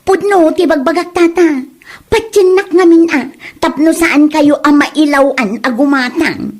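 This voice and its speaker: A woman's voice: high-pitched, unhurried, darkish tone. A woman playing a spiteful neighbourhood gossip in a comedy drama, with an Ilocano accent.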